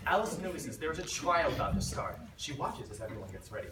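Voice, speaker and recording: A woman's voice: deep voice, sing-song, fast. A woman giving scripted storybook narration in a readers theater performance. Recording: distant, echoey, noisy room.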